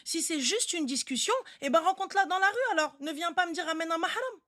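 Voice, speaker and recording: A woman's voice: very high voice, expressive, quick pace, a bit bright, no room sound, studio quality. A woman hosting a casual live advice chat, speaking bluntly and insistently and often repeating words to drive a point home. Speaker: live stream host giving relationship advice.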